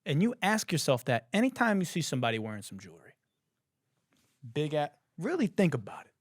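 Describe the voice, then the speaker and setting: Young male podcast host, incredulous comic ranter, slightly hoarse, very expressive, dead-dry sound. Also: on the high side, brisk, no echo. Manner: profane, laughing, incredulous ranting. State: hoarse, says he has lost his voice. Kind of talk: casual comedic commentary.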